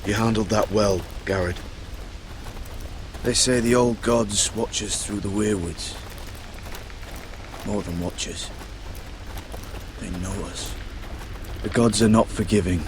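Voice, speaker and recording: An actor playing an earnest, kindly young sworn brother who mentors recruits in a fantasy video game, grave and measured. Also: male voice, fairly low pitch, fairly even intonation, noisy background. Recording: noticeable game ambience behind.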